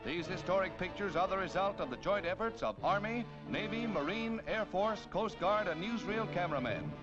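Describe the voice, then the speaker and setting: A dull, band-limited vintage newsreel recording with loud music under the voice. An American man narrates in a high-pitched, declamatory voice. His delivery is scripted and dramatic, in the 1940s newsreel style. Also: expressive, moderate pace.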